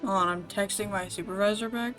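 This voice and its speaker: A woman's voice: mid-range voice, fairly even intonation, fast talker, a bit bright, faint music behind. A woman streaming a video game, commenting in an informal, chatty, amused way. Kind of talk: casual live stream commentary.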